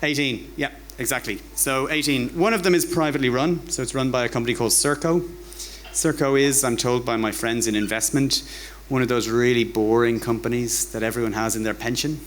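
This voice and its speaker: A man's voice: medium pitch, fairly even intonation, fairly quick, slight background noise. An Irish-accented man giving an informal public lecture in a conversational, wry way, often repeating words as he goes. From Ireland. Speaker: academic giving a public talk. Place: bar hosting a talk night.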